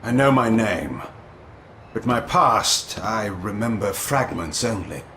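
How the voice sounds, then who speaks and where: An actor voicing a proud, sardonic male vampire warlord in a video game, cold and imperious, with a theatrical, deliberate delivery. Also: fairly low pitch, expressive, fairly bright tone, roomy sound, slight background noise. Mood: disoriented, guarded. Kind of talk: scripted video game cutscene acting.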